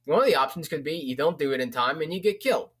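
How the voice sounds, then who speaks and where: A man's voice: fairly high pitch, animated, fast talker, fairly bright tone, husky. A young man, rambling casually through a book recommendation, with lots of fillers and the odd swear word. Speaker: vlogger.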